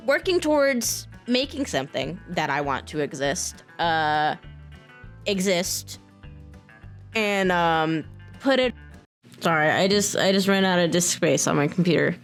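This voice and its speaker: Casual, halting American woman. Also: on the low side, lively intonation, faint music behind. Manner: informal, halting, candid. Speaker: video creator and mod developer. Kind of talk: casual, unscripted talk to camera.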